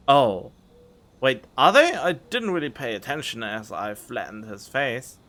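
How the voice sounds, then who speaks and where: A man's voice: mid-range voice, sing-song, measured pace, pushed and bright, slight background noise. A man giving casual gameplay commentary as a streamer, musing aloud informally into a studio microphone. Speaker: gaming streamer. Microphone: studio condenser microphone. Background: game music.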